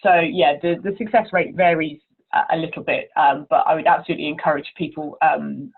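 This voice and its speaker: A woman's voice: low voice, fairly even intonation, a little fast, gravelly, slightly rough. Woman on a tinny phone line. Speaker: conference chair being interviewed. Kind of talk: informal interview answers. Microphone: telephone. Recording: narrow, tinny telephone line.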